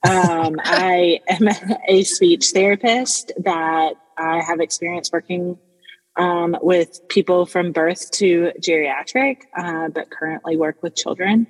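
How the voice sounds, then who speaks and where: Husky low-voiced woman. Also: fairly even intonation, slow pace, in a large hall, rough recording. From South Mississippi. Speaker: speech therapist guest. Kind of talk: podcast self-introduction.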